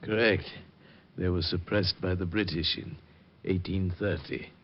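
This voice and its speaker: Wounded, urbane villain of old radio drama. His low voice is deliberate and theatrical, labouring as he dies. The recording is muffled and dated. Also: steady tone. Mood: grave, instructive. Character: dying, urbane crooked dealer in stolen art, male.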